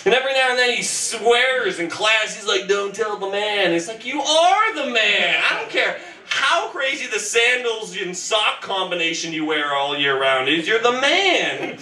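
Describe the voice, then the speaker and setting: Twenty-something guy doing slam poetry at a stand mic, bright sharp voice, picked up far off in an echoey club. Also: high voice, very animated, unhurried, hardly any pauses. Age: 20s. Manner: rhythmic, punchy delivery with conversational asides. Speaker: performance poet. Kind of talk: spoken word performance with comic asides. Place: small club stage with band gear behind. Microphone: stand mic, filmed from the back of the room. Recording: roomy distant live club recording.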